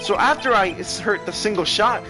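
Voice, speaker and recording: A man voicing an excitable, flustered young male witness in a game dub, rambling and defensive as he stammers. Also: high-pitched, normal speed, over music, pitched above the performer's own voice, close to an everyday voice, poor audio. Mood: uneasy recounting.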